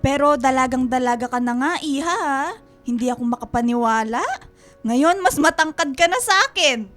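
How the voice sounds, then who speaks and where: A woman's voice: high voice, moderate pace, fairly bright tone, faint music behind. A woman playing an effusive, fond middle-aged godmother in a radio drama, gushing and exclaiming. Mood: delighted, affectionate.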